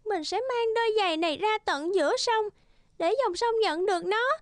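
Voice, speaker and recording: Very high-pitched earnest little girl. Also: normal speed. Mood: worried. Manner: pleading, plaintive. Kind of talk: children's cartoon voice acting.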